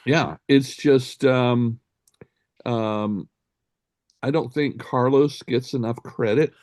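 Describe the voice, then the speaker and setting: A man hesitates his way through his opinions on a casual music panel, with frequent "um"s and the odd deadpan joke. His voice is recorded close and dry, with no room around it. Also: medium pitch, slow pace, no room sound. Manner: hesitant, many "um"s, dry jokes. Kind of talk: casual panel chat about albums.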